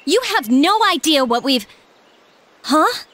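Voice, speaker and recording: A woman's voice: high-pitched, sing-song, slow pace, a bit bright, a little hiss or hum, full character voice. A woman playing a perky, exasperated teenage girl in an anime game.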